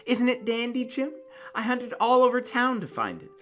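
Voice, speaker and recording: A man's voice: very high-pitched, sing-song, moderate pace, a bit bright, faint music behind, pitched above the performer's own voice, exaggerated voice. A man voicing a tender, eager young wife in a dramatic story reading. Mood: eager, excited.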